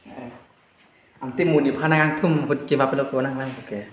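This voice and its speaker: A man's voice: mid-range voice, steady tone, normal speed, a little hiss or hum. A man who vlogs, chatting casually as he makes a prank phone call. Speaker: prank caller and vlogger. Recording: roomy echo.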